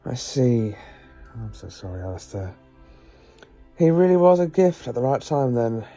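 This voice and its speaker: A man acting as a clever, commanding professor in an audio drama, speaking in a theatrical, deliberate way. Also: medium pitch, big pitch swings, warm tone. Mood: gentle. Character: commanding, clever professor, weary rescuer of others. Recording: long reverb with music behind. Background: music.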